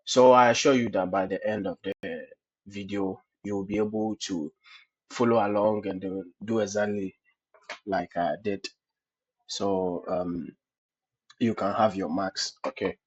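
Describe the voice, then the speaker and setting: A low-pitched man with a husky voice and a slight cold talks through a cloud lab on screen, one step at a time. He keeps tying his steps together with "okay, so" and little fillers. The audio is dull and lo-fi, like a home screencast. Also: fairly even intonation, measured pace. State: has a cold. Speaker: tutorial host.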